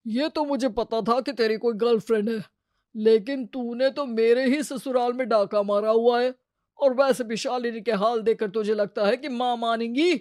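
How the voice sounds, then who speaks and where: Young husband, acted by a woman, brisk, practical, teasing, storybook family drama, dry close sound. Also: a little high, steady tone, a little mellow, no echo, natural voice. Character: teasing, practical married elder brother and husband.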